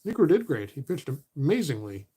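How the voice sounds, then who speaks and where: A man doing play-by-play of a tabletop baseball replay as host, relaxed and correcting himself as he counts stats aloud, his voice getting a little raspy. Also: a little high, fairly quick. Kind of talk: play-by-play commentary of a board game replay.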